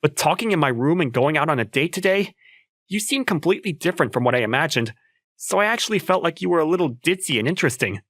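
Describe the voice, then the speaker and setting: A man's voice: a little high, lively intonation, quick pace, fairly bright tone, no room sound. A young man playing a nervous, teasing student in love in a manga dub, talking in a conversational, slightly awkward way. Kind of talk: manga dub acting with first-person narration.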